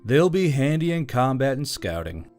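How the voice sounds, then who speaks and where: A man's voice: mid-range voice, big pitch swings, moderate pace, darkish tone, soft background music, lightly acted. A man voicing an easygoing, teasing male soldier with a drawl in an audiobook. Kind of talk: audiobook character acting.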